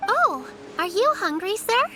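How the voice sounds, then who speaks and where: A caring little girl in a video game. The voice is tiny, very high and bright, with polite, gently instructive phrasing. Faint music runs behind it. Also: sing-song, unhurried, exaggerated voice. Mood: kind, hospitable. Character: well-mannered, caring, earnest little girl.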